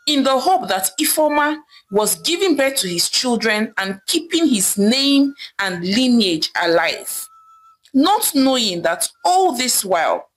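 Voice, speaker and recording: A woman narrating a scripted folktale in an expressive, dramatic storytelling manner, with emphatic pauses. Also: fairly high pitch, poor audio.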